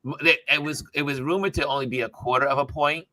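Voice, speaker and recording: A man hosting a casual news panel discussion, speaking in a halting way and repeating phrases as he builds his point. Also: a little high, fairly even intonation, sharp tone, no echo. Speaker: talk show host.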